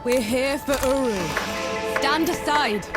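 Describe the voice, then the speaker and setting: A woman's voice: high voice, takes it slowly. A woman playing a determined, blunt, battle-hardened soldier in a dramatic game cutscene. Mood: resolute, firm. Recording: loud cinematic music behind.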